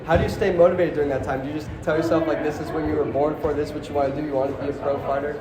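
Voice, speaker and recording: A man's voice: on the high side, level tone, rapid-fire, crowd noise. A man interviewing a fighter as a journalist, hyped and casual, often calling him bro. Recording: echoey arena with noise.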